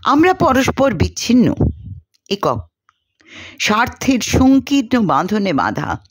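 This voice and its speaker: A woman's voice: a little high, very animated, slow, breathy edge, dry recording, exaggerated voice. A woman voicing a restless, idealistic young male student in a storybook, declaiming earnestly and theatrically. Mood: earnest, disillusioned. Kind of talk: storybook character dialogue.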